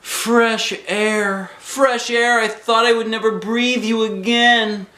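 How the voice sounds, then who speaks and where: A man's voice: high voice, flat delivery, slow, bright, forceful tone, echoey room, voice pushed up, exaggerated voice, poor audio. A man voicing a sassy first-grade girl in a storybook, blunt and theatrically exaggerated. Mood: loud, dramatic.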